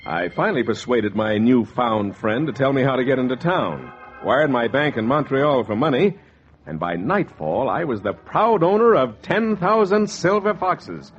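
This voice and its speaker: A man playing a slick opportunist with a medium-pitched voice that swings expressively. He is wry and hardboiled in 1940s radio style, heard on a dull old recording with some music. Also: normal speed, close to an everyday voice. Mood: wry, self-satisfied. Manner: wry, animated storytelling. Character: slick, wry, scheming fur speculator telling his tale.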